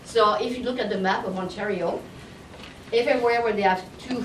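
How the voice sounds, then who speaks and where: A woman's voice: mid-range voice, fairly quick. A woman with a French Canadian accent, French her first language, giving a friendly, self-deprecating talk. She sounds distant from the mic, with a roomy echo and a constant hum of noise behind her. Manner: chatty, self-deprecating, searches for words. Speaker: presenter. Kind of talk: conference presentation. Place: conference room. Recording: echoey hall, distant mic, audience noise.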